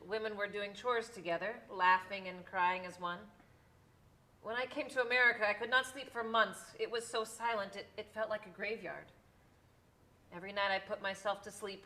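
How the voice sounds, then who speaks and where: A woman's voice: mid-range voice, steady tone, normal speed, pushed and bright, natural voice. A woman playing a weary, homesick immigrant mother who confides tenderly in her son, as dramatic stage storytelling. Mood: tender, wistful.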